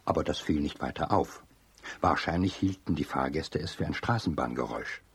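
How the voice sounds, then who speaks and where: A man's voice: rumbling low, brisk, slightly rough, dry recording, exaggerated voice. A man narrating a children's radio play as a storyteller. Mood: storytelling.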